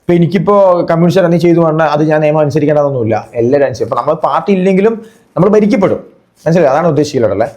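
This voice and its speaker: A man's voice: a little high, lively intonation, very fast, darkish tone. A man giving chatty, casual reaction commentary as a video host, often checking that the listener follows.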